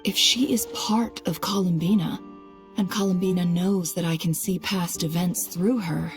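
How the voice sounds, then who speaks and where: Brief: actress as proud, resolute boss woman, lowish register, measured and wry, game cinematic drama with music underneath. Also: steady tone, lightly acted. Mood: pondering. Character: composed, proud, resolute woman who leads a secret organization. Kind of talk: dramatic game cutscene acting.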